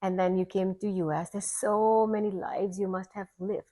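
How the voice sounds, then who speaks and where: A woman's voice: medium pitch, level tone, moderate pace, warm tone. A woman hosting a casual interview, musing aloud and affirming her guest. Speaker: host.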